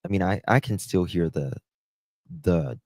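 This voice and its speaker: A middle-aged man with a Southern US accent, hosting a casual livestream into a close desk mic in a halting, unhurried way. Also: deep voice, darkish tone, no room sound. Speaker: livestream host. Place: small room at home, indoors. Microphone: close desk microphone.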